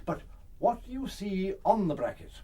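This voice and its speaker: Pompous, theatrical, high-voiced gentleman detective. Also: big pitch swings. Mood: deductive, pompous. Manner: pompous, deliberate, musing aloud with emphatic pronouncements. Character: eccentric, self-assured older male gentleman detective, theatrically deducing. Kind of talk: full-cast radio drama acting.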